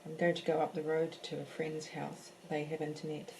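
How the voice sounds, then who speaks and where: Chatty woman teaching painting, mellow voice. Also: low voice, monotone, a little fast, a little hiss or hum, poor audio. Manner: chatty, thinks aloud with fillers and restarts. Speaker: art teacher. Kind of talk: live painting class, casual talk. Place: home in a French village.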